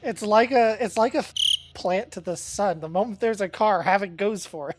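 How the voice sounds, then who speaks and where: A woman's voice: mid-range voice, moderate pace, music in the background. A woman chatting casually as a player in a tabletop role-playing game. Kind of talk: casual chat.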